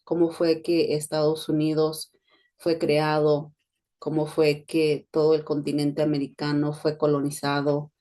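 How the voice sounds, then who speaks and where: Low, mellow-voiced Mexican woman. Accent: neutral Spanish. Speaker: podcast host, education consultant. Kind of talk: personal monologue podcast.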